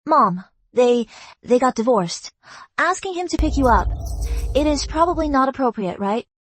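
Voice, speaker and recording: A young woman with a high voice who speaks calmly and teases lightly. The recording is close and dry. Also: normal speed, no room sound, soft background music. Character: young woman, teasing and calm.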